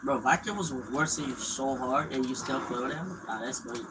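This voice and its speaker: A man's voice: mid-range voice, moderate pace, very noisy. Crude mocking young male gamer streamer. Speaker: gaming streamer. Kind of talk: casual live-stream trash talk.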